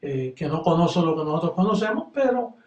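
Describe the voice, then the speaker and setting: A man from Puerto Rico with a Puerto Rican Spanish accent, preaching fervently and then chatting relaxed as he closes. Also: a little high, brisk, roomy sound, highs cut off. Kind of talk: sermon closing and farewell.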